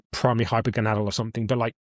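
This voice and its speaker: A man's voice: lowish voice, flat delivery, quick pace, dry recording, clean studio sound. A man in his forties hosting a podcast, talking casually and enthusiastically, often repeating his points for emphasis. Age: 40s. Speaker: podcast host.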